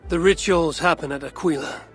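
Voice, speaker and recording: A man playing a grim, determined Viking warrior in a video game, with a gravelly voice. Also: fairly high pitch, a little fast, fairly bright tone, soft background music, lightly acted. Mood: calm, probing. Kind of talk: video game cutscene acting.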